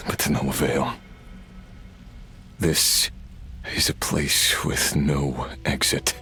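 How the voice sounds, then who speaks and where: An actor playing a sombre, abyss-corroded resurrected warrior in a video game, grave and remorseful, with halting, heavy pauses. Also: male voice, very deep voice, sharp tone, exaggerated voice. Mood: grim, weary. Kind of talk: dramatic video game dialogue.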